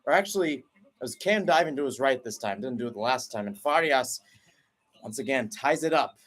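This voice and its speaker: A young man doing excited play-by-play commentary of a soccer penalty shootout, with his pitch and volume leaping at each kick. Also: a little fast. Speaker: radio play-by-play announcer. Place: at home, not at the stadium.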